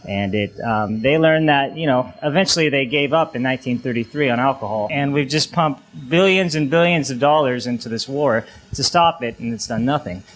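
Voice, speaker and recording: Male panelist, mid-range voice, informal and opinionated, explains haltingly. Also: medium pitch, rough recording. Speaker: panel speaker on a radio talk show.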